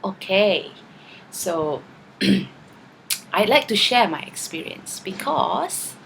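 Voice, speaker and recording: A woman's voice: medium pitch, big pitch swings, extremely slow, creaky, fairly bright tone, slight background noise, low-quality recording. A tired woman, not a native English speaker, talking to camera in a chatty video response, often tagging her points with "okay" and "right?". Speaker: vlogger.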